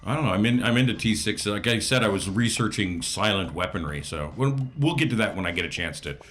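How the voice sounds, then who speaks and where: Man, deep pitch, tabletop player, casual game chat. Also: animated, fast, a bit bright, a little hiss or hum.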